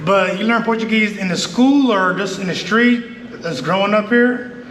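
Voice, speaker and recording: A man's voice: high-pitched, normal speed, fairly bright tone. A man hosting a casual travel interview, asking questions in a conversational way. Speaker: interviewer, travel show host. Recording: echoey, reverberant space with background noise.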